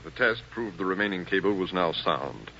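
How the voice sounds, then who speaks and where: A man narrating a scripted 1950s radio drama in a measured, dramatic storytelling manner. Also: low-pitched, animated, a little hiss or hum. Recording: muffled 1950s radio recording, loud music.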